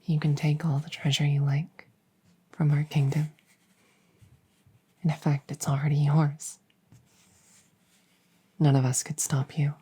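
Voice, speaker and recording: Breathy, hushed young princess, acted by a woman. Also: low voice, flat delivery, heavily acted. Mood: earnest, soft. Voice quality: soft, breathy, often whispering. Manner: slow, hushed, deliberate, coy pauses. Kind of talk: intimate roleplay audio drama, ASMR-like.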